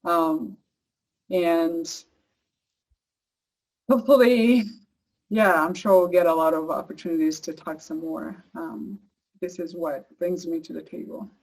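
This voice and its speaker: A woman's voice: fairly low pitch, steady tone, measured pace, pauses often, a little mellow, rough recording. An earnest woman with an East African accent delivers a prepared personal talk. Her voice sits at an ordinary conversational level, and she speaks as an advocate sharing her own story. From Uganda. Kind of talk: prepared personal speech, partly read.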